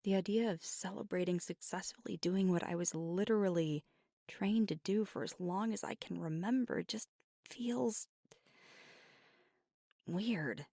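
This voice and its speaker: A woman voicing a weary, guarded but tender young werewolf girlfriend in an intimate ASMR roleplay, speaking in a breathy, husky, halting hush. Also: medium pitch, dry recording, clean studio sound. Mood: self-deprecating, deflecting. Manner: hushed, halting, confiding.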